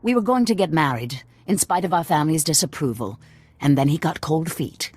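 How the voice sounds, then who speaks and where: An actress playing a proud middle-aged noblewoman assassin in a sci-fi video game, husky, sardonic and world-weary. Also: sits low, expressive, a little fast, darkish tone. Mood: wistful, defensive.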